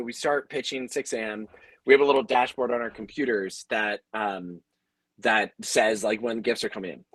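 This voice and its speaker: A man speaks as a storyteller on a panel, in a casual and emphatic way. His pitch is middling and the sound is dry. His sentences lean on "like" and roll along conversationally. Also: a bit bright, dry recording, poor audio. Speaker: radio host and audio drama creator.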